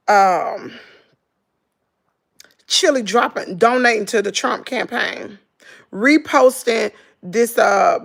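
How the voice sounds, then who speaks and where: A middle-aged woman with a Southern American accent, hoarse, chatting casually and gossiping with her viewers in a dramatic way. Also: fairly high pitch, a bit bright. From Southern United States. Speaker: vlogger.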